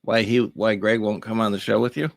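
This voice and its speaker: A man's voice: lowish voice, level tone, brisk, creaky, no room sound, clean studio sound. A middle-aged man co-hosting a casual chat, laid-back and rambling. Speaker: magician and co-host. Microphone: studio mic.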